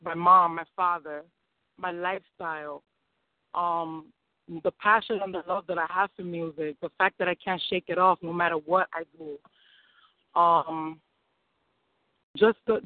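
A woman's voice: on the low side, no room sound. A woman from New City, answering interview questions in an upbeat, eager way and laughing easily. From New York City. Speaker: singer and rapper guest. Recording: dry, tinny phone-like sound.

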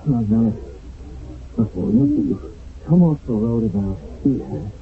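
An actor playing a commanding army sergeant on patrol, urgent and decisive, in a radio drama recorded from an old broadcast. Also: male voice, medium pitch, sing-song, takes it slowly, creaky, narrow, tinny audio, a little hiss or hum, exaggerated voice. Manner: urgent, decisive, giving orders.

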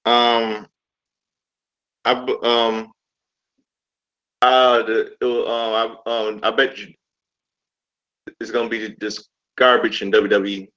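Man in his thirties, casual panelist, sharp bright voice, halting, stumbling, repetitive, dull lo-fi audio. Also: medium pitch, little pitch movement. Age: 30s. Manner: halting, stumbling, repeats himself. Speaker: show panelist. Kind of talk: casual chat.

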